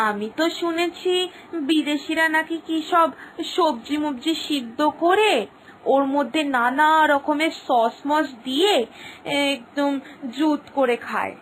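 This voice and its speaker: A woman's voice: high voice, slow pace, a little hiss or hum, low-quality recording. A woman voicing a chatty, gossipy village wife handing out advice in a cartoon story. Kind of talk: cartoon voice acting.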